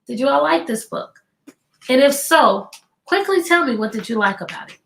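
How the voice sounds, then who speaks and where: A woman teacher reading a children's book aloud, expressive and deliberate, prompting young listeners with questions. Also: sits high, creaky.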